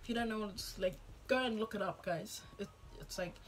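A woman's voice: mid-range voice, fairly quick, soft background music. A young woman chatting casually for her reaction vlog, rambling and full of "like", recorded in a small room on a camera close in front. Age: young adult. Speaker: vlogger.

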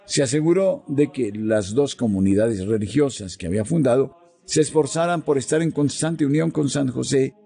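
A man reading a devotional text in a slow, solemn, measured way, pausing between phrases. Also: mid-range voice, gravelly, breathy edge. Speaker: devotional reader. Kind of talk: slow scripted devotional narration. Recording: soft music underneath.

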